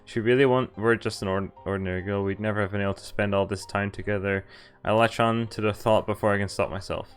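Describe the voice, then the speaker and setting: A man's voice: lowish voice, steady tone, fast talker. A man streaming a visual novel, reading it aloud casually with asides to chat and often stumbling and correcting himself. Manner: stumbles over read lines and corrects himself. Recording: music playing behind the voice. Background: background music from the game.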